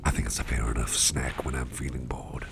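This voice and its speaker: A man in an ASMR roleplay voicing an eager, secretive boss with fangs, speaking in a soft, rambling whisper over clear background noise. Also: extremely low pitch, level tone, fairly quick. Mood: chatty.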